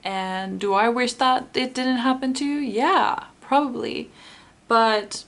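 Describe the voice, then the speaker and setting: Finnish lady doing a tarot pick a card, slow and searching, keeps rephrasing herself. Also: fairly high pitch, a bit bright. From Finland.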